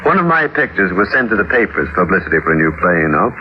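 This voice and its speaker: An actor playing a dismissive, subtly sinister husband in a 1940s radio mystery, speaking in a patronizing, theatrical way. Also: male voice, deep voice, sing-song, fast. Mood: amused, evasive. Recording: muffled old radio recording, noisy.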